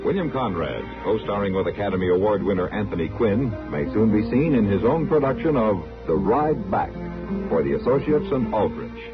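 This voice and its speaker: A man announcing programme credits in a formal 1950s radio announcer style. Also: on the low side. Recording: muffled old radio recording, music behind.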